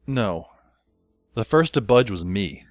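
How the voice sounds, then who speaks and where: Over clearly audible music comes a man playing a sardonic, exasperated teenage boy. His voice sits fairly low as he narrates an audio drama. He talks wryly and conversationally, often questioning himself. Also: big pitch swings, slow pace, no room sound. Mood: wry, uneasy. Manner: wry, self-questioning, conversational. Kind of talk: first-person audio drama narration and dialogue. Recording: music behind the voice. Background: background music.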